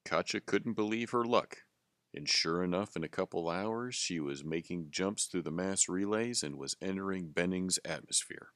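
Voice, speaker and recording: A man's voice: low voice, normal speed, fairly bright tone, dry recording, studio quality. A man reading scripted story narration as the narrator of a tale.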